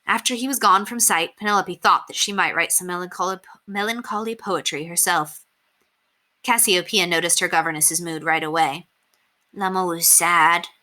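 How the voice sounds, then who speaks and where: A woman narrating a children's book aloud as an expressive storyteller. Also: on the low side, normal speed.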